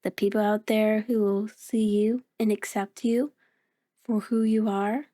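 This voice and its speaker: A woman voicing a kind, playful mirror reflection in an ASMR roleplay, speaking gently and encouragingly with hesitant pauses. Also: mid-range voice, little pitch movement, natural voice. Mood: tender, reassuring. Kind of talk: ASMR roleplay, addressing the listener.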